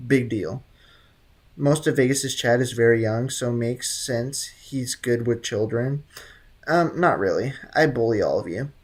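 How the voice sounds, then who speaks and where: Blunt, teasing male game streamer. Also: mid-range voice. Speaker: livestream host. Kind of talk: casual game streaming commentary, reading chat.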